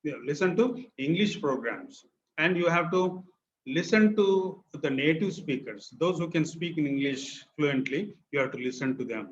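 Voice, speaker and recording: Indian english lecturer guy, bit high voice, repeats stuff a lot and quizzes the class, muffled lo-fi classroom audio. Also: lively intonation, fairly quick. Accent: Indian (Telugu). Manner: repetitive, emphatic, quizzes the class.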